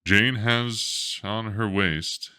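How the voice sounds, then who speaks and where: Male reader as a worried storybook mom, pitched up from his own voice, plaintive and resigned. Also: drawn-out words, bright, forceful tone, clean tone, exaggerated voice. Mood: sad, weak. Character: weary, worried storybook mother reporting children's antics.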